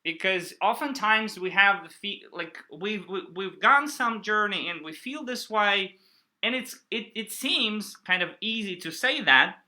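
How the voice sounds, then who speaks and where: Interview host, very slow, bright sharp tone, halting questions, many fillers. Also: mid-range voice, animated. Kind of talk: conversational interview.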